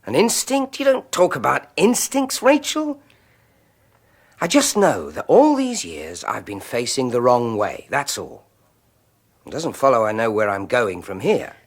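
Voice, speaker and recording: An actor playing an obsessive, sardonic scientist with a British accent in a radio drama, speaking tersely and intensely. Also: male voice, mid-range voice, sing-song, unhurried. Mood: irritated, defensive. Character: obsessive scientist husband, brooding, bitterly sardonic new father. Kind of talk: 1980s radio drama acting.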